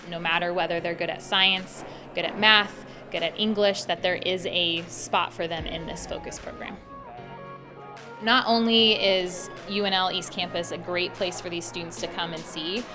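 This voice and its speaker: Low-voiced American woman over background music. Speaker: education program coordinator. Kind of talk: promotional interview. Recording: music bed under speech.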